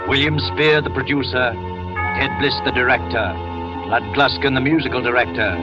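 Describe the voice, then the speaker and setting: Ominous old-radio narrator, man over loud music. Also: medium pitch, steady tone, moderate pace. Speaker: narrator and announcer of a suspense show. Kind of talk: 1940s radio announcing, scripted narration. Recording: muffled vintage radio broadcast over music.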